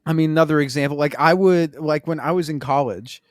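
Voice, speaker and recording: A man's voice: on the high side, a little fast, no room sound, studio quality. A man co-hosting a podcast, telling stories in a loose, conversational way with plenty of fillers and casual swearing. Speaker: podcast co-host.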